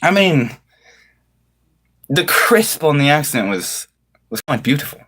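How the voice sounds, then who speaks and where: A man's voice: fairly high pitch, big pitch swings, measured pace, bright, forceful tone. A man chatting casually to livestream viewers in an affected British-style accent, with mock-formal, flowery wording and a theatrical manner.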